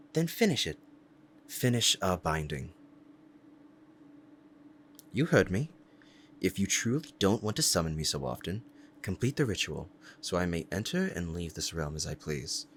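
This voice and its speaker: A man voicing a powerful, possessive and devoted demon in an intense, deliberate ASMR roleplay monologue. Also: low-pitched, fairly bright tone, slight background noise, heavily acted. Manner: intense, deliberate, dramatic pauses.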